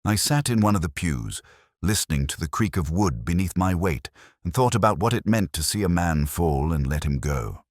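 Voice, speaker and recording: A man narrating a scripted first-person detective story in a measured, brooding, literary manner. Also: sits low, lively intonation, no echo, clean studio sound.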